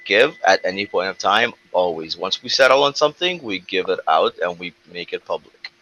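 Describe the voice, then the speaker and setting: A man hosting an online question-and-answer call, moderating in a brisk, businesslike way. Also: low voice, animated, bright, forceful tone. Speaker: host running a community Q&A call. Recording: dull lo-fi call audio with faint noise.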